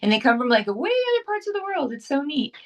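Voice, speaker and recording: A woman's voice: high-pitched, sing-song, quick pace. A woman actress chatting as a guest in a casual interview, upbeat and talkative, often restarting her sentences.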